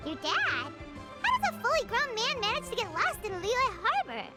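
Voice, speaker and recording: A woman voicing a small, cheeky, excitable fairy companion in an anime game, with a squeaky, childlike voice. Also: normal speed, loud music behind the voice. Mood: incredulous. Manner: exclamatory, bubbly.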